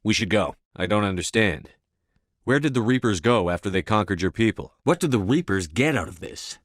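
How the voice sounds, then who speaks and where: Firm military commander from a video game, low voice, terse, dry close sound. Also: male voice, expressive, a little fast, a bit bright, no room sound. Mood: firm, resolute. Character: resolute, commanding male military commander of a starship crew. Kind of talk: video game voice acting, scripted dialogue lines.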